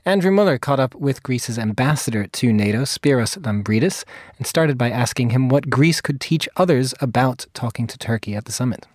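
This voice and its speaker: A man hosting a daily news talk show, introducing guests and segments in a brisk, scripted way. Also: mid-range voice, expressive, no room sound, studio quality. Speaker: radio show host.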